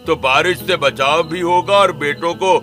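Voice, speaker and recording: A man's voice: on the high side, unhurried, pushed and bright, faint music behind. A man voicing a kindly, thoughtful elderly father of grown sons in a cartoon story. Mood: approving. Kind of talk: Hindi cartoon story dialogue.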